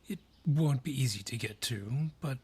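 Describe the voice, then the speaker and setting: A man voicing an ancient, gruff dryad forest guardian in a fantasy audio drama, husky, brusque and ominous in delivery. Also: mid-range voice, lively intonation, a little mellow. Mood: ominous, wry. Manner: deliberate, brusque, ominous storyteller. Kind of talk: fantasy audio roleplay acting.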